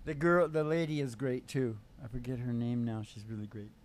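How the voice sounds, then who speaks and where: A man's voice: medium pitch, fairly even intonation, brisk, a little hiss or hum. Rambling older man chatting on stage. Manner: rambling, forgetful, stop-and-start storytelling. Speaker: show host and musician. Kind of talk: rambling casual chat on stage. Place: indoor curtained small stage studio. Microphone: stage microphone on stand.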